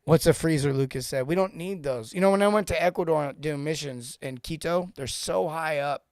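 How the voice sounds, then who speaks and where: A man in his forties hosting a casual live-stream coaching talk, joking and rambling off into asides with informal, animated banter. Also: fairly high pitch, normal speed, no room sound, clean studio sound. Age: 40s. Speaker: host and sales coach for plumbers.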